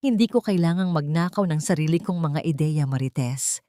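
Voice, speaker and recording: A woman voicing a proud, wronged heiress in an audio story, cold, sharp and resolute. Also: low-pitched, moderate pace, no echo, studio quality, natural voice. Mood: cold, confronting.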